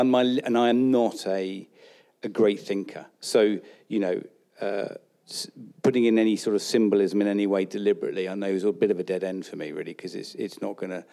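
A man's voice: lowish voice, creaky, mellow. A middle-aged man, a portrait painter answering questions on a panel, hesitant and self-deprecating, restarting his sentences. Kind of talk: casual panel talk. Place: college event hall with an audience.

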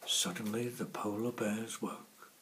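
A man reading a children's storybook in a hushed, measured manner. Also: lowish voice, steady tone. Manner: hushed, measured, often dropping to whispers. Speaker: author reading own story. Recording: faint background noise.

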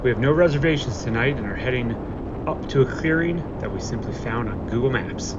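A man's voice: medium pitch, expressive, a little fast, muffled recording, very noisy. A man narrating a family camping trip in an easygoing, chatty way with dry little asides. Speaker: family travel vlogger. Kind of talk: casual vlog narration. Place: outdoor lakeside campsite and in a car. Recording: music bed under voice. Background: music under the narration.

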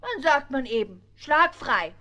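A woman's voice: high voice, sing-song, unhurried, bright, forceful tone, full character voice. A woman voicing a motherly, fussing female pig in a children's radio play. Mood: motherly, helpful.

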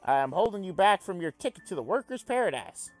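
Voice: medium pitch, big pitch swings, fast, soft background music, pitched above the performer's own voice, lightly acted. A reader voicing a zealous, bouncy male alien revolutionary who preaches in fervent speeches.

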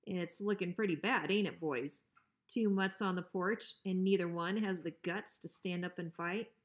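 A woman's voice: on the low side, normal speed, close to an everyday voice. A woman voicing a big, menacing male Rottweiler in a children's storybook, with slangy, taunting talk. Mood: sneering.

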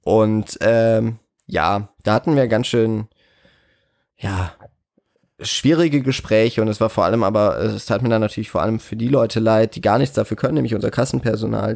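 A man in a casual interview as a guest, slightly croaky from a cold, speaking in a hesitant, reflective way. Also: lowish voice, normal speed, darkish tone, no room sound, clean studio sound. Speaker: interview guest, cinema manager.

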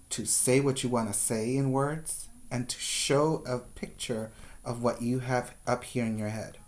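A middle-aged man giving a preschool lesson as a teacher, with deliberate, repetitive step-by-step instructions, recorded indoors on a webcam. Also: mid-range voice. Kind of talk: instructional video lesson for young children. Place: small room indoors. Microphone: webcam at desk distance.

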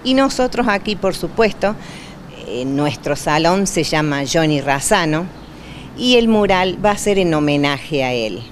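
A woman in her sixties with an Argentine accent, speaking deliberately in a local news interview as a neighbourhood representative, repeating words for emphasis. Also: low-pitched, animated, a little hiss or hum. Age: 60s. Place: indoors in a community hall. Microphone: handheld interview microphone.

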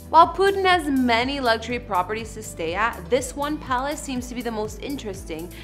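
A woman's voice: a little high, animated, fairly quick, music in the background. A young woman hosting an online entertainment video, reading scripted narration with an emphatic presenter delivery. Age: young adult. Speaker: host of an entertainment house tour show.

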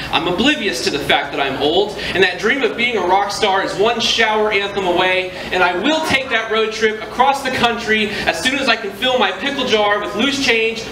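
A man, chatting casually with an audience and then reciting a spoken word poem on a small stage. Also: high-pitched. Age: 30s. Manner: chatty, joking intro, then rhythmic earnest recitation. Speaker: slam poet. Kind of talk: spoken word poetry performance. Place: small indoor venue stage with live audience. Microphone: stand mic, filmed from across the room. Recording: echoey room, audience noise.

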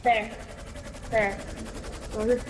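A high-voiced game player chatting casually in improvised roleplay, often repeating words and restarting sentences. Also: flat delivery, extremely slow, noisy background. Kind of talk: casual improvised roleplay chat during gameplay.

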